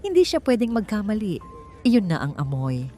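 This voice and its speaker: A woman's voice: medium pitch, wildly swooping pitch, normal speed, dark tone. A woman with a Filipino accent reading a dramatic story as an audiobook narrator. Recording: music bed under the voice. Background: background music.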